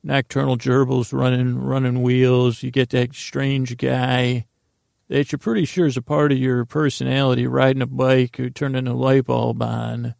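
A man hosts a podcast, rambling a meandering bedtime tale with a goofy, self-deprecating ease. His voice is deeply gravelly and creaky, with a narrow, even pitch. He is close on a dry mic with no room sound. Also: normal speed, no room sound. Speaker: podcast host. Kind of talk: rambling bedtime story monologue.

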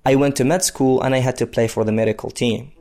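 A man's voice: medium pitch, fairly even intonation, fast talker, creaky, loud music behind the voice. Congolese man telling his life story. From Democratic Republic of Congo. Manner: conversational, with frequent fillers. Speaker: interviewee, basketball player. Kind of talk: personal storytelling.

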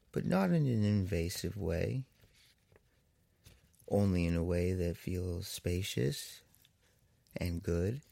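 A man leading a guided meditation as a therapist, in a soothing manner with long pauses between phrases. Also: lowish voice, vocal fry, dark tone, no echo.

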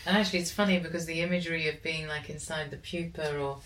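A woman's voice: deep voice, steady tone, fast talker, fairly bright tone. A woman interviewing a guest in a reflective, conversational style. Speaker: podcast interviewer. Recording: distant mic, background noise.

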